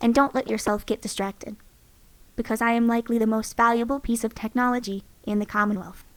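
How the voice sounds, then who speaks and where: Game robot companion, female, cocky and sarcastic, cutting delivery, somewhat high voice, played by a woman. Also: little pitch movement, a little fast, lightly acted. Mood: confident.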